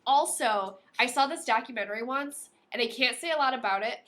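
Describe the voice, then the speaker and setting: A high, very bright woman's voice with a playful podcast-host manner. She moves between dramatic read-aloud delivery and informal banter. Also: fairly quick. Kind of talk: reading a novel aloud with casual banter.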